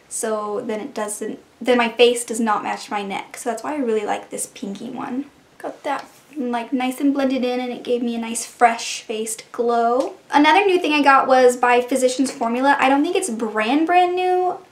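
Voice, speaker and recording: A woman's voice: on the high side, echoey room. A beauty vlogger, a woman who sounds a bit congested and stuffy, chatting casually through her makeup steps as she works. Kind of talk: casual makeup try-on narration.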